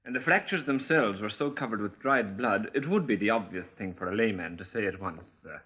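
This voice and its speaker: Male, raspy low voice, dry, clinical police doctor in vintage radio drama. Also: fairly quick. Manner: clinical, wry. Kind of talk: 1950s radio crime drama acting. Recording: dull 1950s radio recording.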